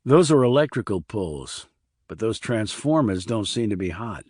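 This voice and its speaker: A man's voice: fairly low pitch, expressive, moderate pace, darkish tone, breathy edge, no echo, studio quality. A man voicing a blunt, skeptical military investigator with a terse, sardonic manner in audiobook dialogue. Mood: terse, probing.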